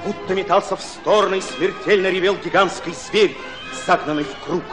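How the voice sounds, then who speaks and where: Radio drama narrator: thoughtful male commissar played by an actor, tense literary delivery, voice a touch high. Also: normal speed, music in the background, natural voice. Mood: dramatic, urgent. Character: thoughtful, watchful Red Army commissar narrating battle.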